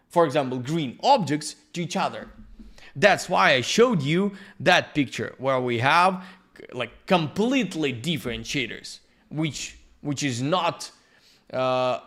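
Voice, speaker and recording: Halting Ukrainian-accented man teaching. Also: on the high side, a bit bright. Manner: halting, searching for words, frequent restarts. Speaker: workshop instructor. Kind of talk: live-streamed software lesson.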